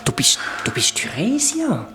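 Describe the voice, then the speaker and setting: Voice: mid-range voice, huge pitch swings, a little slow, loud music behind the voice. An actor voicing a brave young adventurer. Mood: eager. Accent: Swiss German. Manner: eager, often whispering. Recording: heavy background noise.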